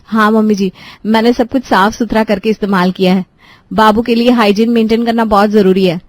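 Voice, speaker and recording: A woman's voice: medium pitch, fairly even intonation, fairly quick, no echo, close to an everyday voice. A woman voicing a harried, dutiful young daughter-in-law and mother in a family cartoon. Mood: dutiful, earnest. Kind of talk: Hindi moral-story cartoon acting.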